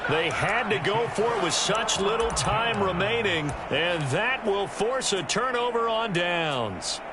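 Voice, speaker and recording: A man's voice: sits high, animated, measured pace. A man playing an American play-by-play football announcer in a video game, with a punchy, polished broadcast delivery. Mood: announcing. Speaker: play-by-play sports commentator. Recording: loud game crowd noise behind.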